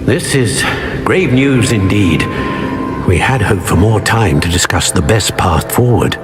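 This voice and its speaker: An actor playing a solemn, wise elder wizard guardian in a video game, speaking in a formal, stately, instructive manner. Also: male voice, on the low side, lively intonation, unhurried. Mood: grave, solemn. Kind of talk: dramatic video game voice acting. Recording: music behind the voice. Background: game music.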